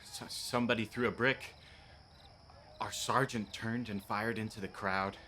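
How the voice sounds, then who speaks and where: An actor playing an earnest, rule-bound policeman in a radio play, with a halting delivery. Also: on the low side, steady tone, sharp tone, a little hiss or hum. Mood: shaken.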